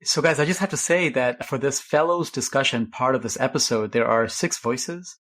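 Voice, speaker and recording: A man's voice: mid-range voice, moderate pace, fairly bright tone. Upbeat, gushing man hosting a podcast. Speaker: podcast host.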